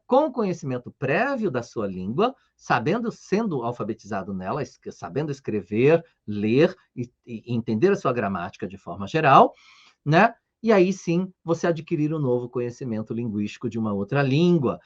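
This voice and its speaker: A middle-aged Brazilian man teaches language with a lively, swinging voice that rides fairly high, and he keeps tossing rhetorical questions to his viewers. The sound is a little dull and lo-fi. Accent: Brazilian Portuguese. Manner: explanatory, rhetorical questions, frequent "né?". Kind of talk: talking-head language lesson.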